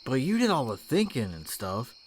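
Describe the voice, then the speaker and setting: An actor playing a devoted, eager young cowboy with folksy speech in a western audio drama. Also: male voice, mid-range voice, huge pitch swings, moderate pace, a little hiss or hum. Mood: earnest. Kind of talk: western comic-book audio drama acting.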